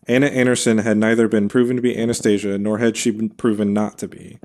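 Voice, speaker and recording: A man hosting a podcast, reading scripted history narration in a measured storytelling way with casual asides. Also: fairly low pitch, darkish tone. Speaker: podcast host.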